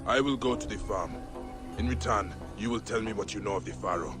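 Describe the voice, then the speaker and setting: An actor playing a grave, driven warrior investigator of ancient Egypt in a video game, terse and solemn. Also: male voice, fairly low pitch, a little fast, over music, lightly acted. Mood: grave, probing. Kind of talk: dramatic video game cutscene acting.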